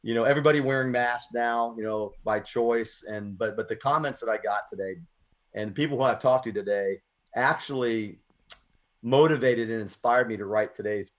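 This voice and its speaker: American guy hosting a poetry radio show, mid-pitched voice, earnest and reflective, leans on his words. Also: moderate pace. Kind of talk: conversational radio talk and spoken-word reading.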